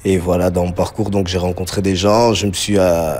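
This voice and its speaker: Deep-voiced man telling his story. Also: level tone, a little mellow. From Belgium, grew up around Liège. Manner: reflective, halting, repeats words as he searches. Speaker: interview subject telling his life story. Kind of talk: personal testimony, spontaneous storytelling. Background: music under the voice.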